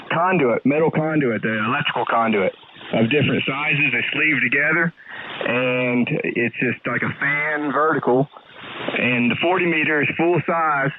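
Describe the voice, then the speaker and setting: A man's voice: medium pitch, noisy background. American man over a tinny, staticky ham radio. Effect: two-way radio. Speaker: amateur radio caller. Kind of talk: casual ham radio chat.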